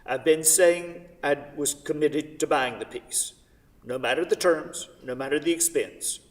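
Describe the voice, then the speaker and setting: An eager gentleman buyer, acted by a man, pleads with formal insistence in a staged play reading. The voice sits slightly high. It comes from some way off in a ringing theatre hall. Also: measured pace, lightly acted. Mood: insistent. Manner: formal, insistent, repeating pleas. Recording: reverberant theatre hall, distant mic.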